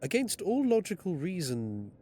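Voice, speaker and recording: An actor in an audio drama playing a composed gentleman detective with a precise, formal, detached way of talking. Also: high-pitched, huge pitch swings, normal speed, slight background noise. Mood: cool, measured.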